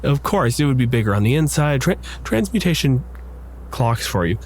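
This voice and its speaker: A man's voice: mid-range voice, big pitch swings, normal speed, a little mellow, slight background noise. A man working as game master, narrating a tabletop game in a casual, conversational, explanatory way.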